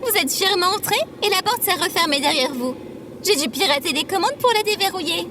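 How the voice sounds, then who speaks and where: A woman's voice: very high-pitched, lively intonation, a little fast, background noise. A woman playing a small, prim female robot companion in an audio drama, speaking formally and scolding with exasperation. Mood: indignant, explaining.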